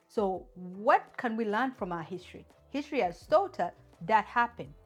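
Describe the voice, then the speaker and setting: A woman from Uganda hosts her own channel and speaks straight to camera, making emphatic points and asking many rhetorical questions. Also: mid-range voice. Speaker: channel host. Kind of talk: opinion talk to camera.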